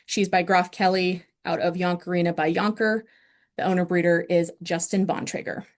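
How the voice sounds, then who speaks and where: A woman's voice: fairly low pitch, flat delivery, moderate pace. A woman presenting an online awards ceremony, steadily listing winners and their breeding lines with frequent ums, speaking over a video call.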